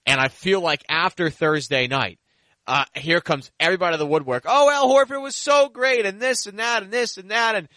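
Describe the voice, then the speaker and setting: Bright, punchy male podcast host. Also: sits high, fairly quick, no echo. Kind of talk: animated basketball talk and interview.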